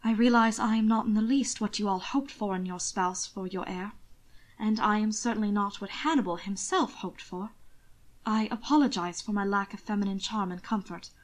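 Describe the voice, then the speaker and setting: A woman's voice: medium pitch, moderate pace, close to an everyday voice. A woman voicing a mild, dignified young man in an audiobook story, bland and stiffly formal.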